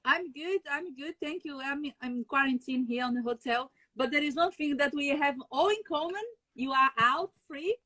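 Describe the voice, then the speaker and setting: A woman's voice: high voice, moderate pace, pushed and bright, no room sound, rough recording. A woman from Brazil with a Brazilian Portuguese accent, chatting as a guest chef over a video call, laughing often and searching for words. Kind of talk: casual video-call chat.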